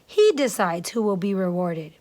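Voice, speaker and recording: A woman's voice: mid-range voice, sing-song, moderate pace, darkish tone, lightly acted. Small storybook boy, dutiful and earnest, read by a woman.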